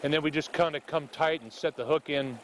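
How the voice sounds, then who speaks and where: A man's voice: fairly high pitch, fairly even intonation, a little fast, a bit bright, slight background noise, rough recording. A middle-aged man, a fishing guide, wading in a river and explaining casting step by step, often checking in with "okay?". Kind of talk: on-the-spot fly-casting instruction.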